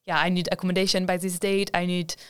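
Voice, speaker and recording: A young woman, speaking as a student guest on a podcast. She tells her stories in an animated way and sometimes acts out her own thoughts. Her voice is smooth and on the low side for a woman. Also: fast. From France. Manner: lively, animated storytelling.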